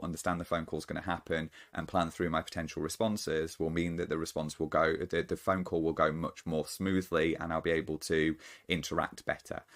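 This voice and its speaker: Deep-voiced man talking haltingly. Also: fairly bright tone. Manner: halting, thoughtful, often restarts sentences. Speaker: channel host. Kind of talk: unscripted solo talk to camera.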